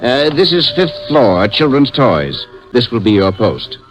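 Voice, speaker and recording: An actor playing a prim, officious department store manager who speaks formally and hesitantly in a 1950s radio drama. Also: male voice, medium pitch, very animated, measured pace, low-quality recording. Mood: prim, brisk. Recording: vintage 1950s radio recording, faint music.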